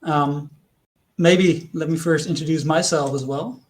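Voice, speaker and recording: A man with a Dutch accent presents to an online audience, his voice sitting a little high for a man. He speaks earnestly, posing questions to his listeners and pausing often with hesitations. It sounds like a remote talk picked up by a computer mic. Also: fairly even intonation, a little hiss or hum. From Netherlands.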